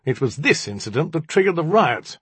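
A man's voice: a little high, sing-song, a little fast, husky, no echo, voice pushed up. A man voicing a bitter, self-pitying young magician, haughty and accusing, as audiobook dialogue. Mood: cold, formal.

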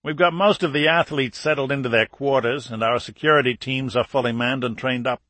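A man voicing a friendly, courteous Australian army colonel in an audiobook, with a brisk military manner. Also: mid-range voice, steady tone, a bit bright, no room sound, lightly acted. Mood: friendly, assured. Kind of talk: audiobook character dialogue.

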